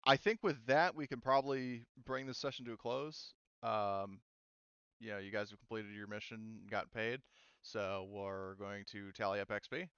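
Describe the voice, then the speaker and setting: Low-voiced man with a smooth tone. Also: steady tone, normal speed, fairly bright tone, no room sound, muffled recording. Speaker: game master. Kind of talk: casual explaining to players at a tabletop game session.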